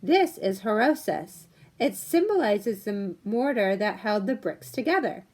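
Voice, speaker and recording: A woman's voice: mid-range voice, expressive, a little slow, a little mellow. A woman voicing a patient mother who explains customs in a picture-book read-aloud. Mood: explaining.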